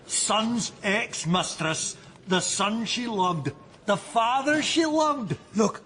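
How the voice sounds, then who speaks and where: A crude, cheeky prison inmate. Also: male voice, high-pitched, animated, slow pace, a bit bright, slight background noise, full character voice. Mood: teasing. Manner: crude, teasing.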